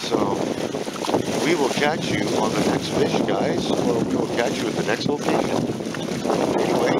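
Casual fishing vlogger, man, upbeat chatter, mid-pitched, loud wind and waves on the mic. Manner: chatty, upbeat, many fillers. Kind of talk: casual chat to camera. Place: rocky lakeshore outdoors, windy. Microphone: camera mic in strong wind.

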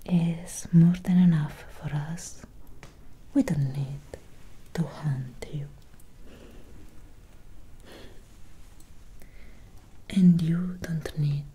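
A young woman playing a courteous, faintly menacing Victorian vampire doctor in a breathy whispered ASMR roleplay, speaking formally and deliberately. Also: sits low, dark tone, exaggerated voice. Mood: calm, faintly menacing. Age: young adult. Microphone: close sensitive microphone.

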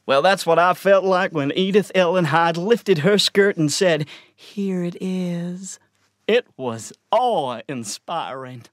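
A man's voice: sits high, slow, no room sound, clean studio sound. A man playing a bawdy, awestruck storyteller in a wry comic stage monologue. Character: bawdy, awestruck male raconteur.

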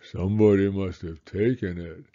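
A man voicing a mournful, resigned old donkey from a storybook, in a doleful, drawn-out manner. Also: low voice, steady tone, warm tone, dull audio, voice pushed down. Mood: gloomy, resigned.

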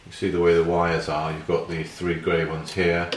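Male hobbyist repairer, deep-pitched, matter-of-fact, talking through his work in an echoey room. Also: completely flat delivery, moderate pace, background noise. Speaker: repair hobbyist demonstrating a restoration. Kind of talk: casual hands-on tutorial commentary, thinking aloud while working. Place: indoors at a repair workbench. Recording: roomy, clear handling clatter and clicks.

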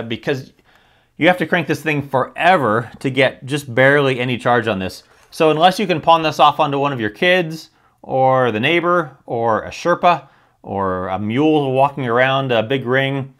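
A man with a mid-pitched voice presents a product review. He talks casually and candidly, explaining things step by step in a conversational way with dry humour. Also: a bit bright.

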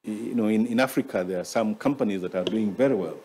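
A man, moderating a panel with halting, deliberate comments and questions. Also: on the low side, darkish tone, husky. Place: conference stage, indoors. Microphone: gooseneck table microphone.

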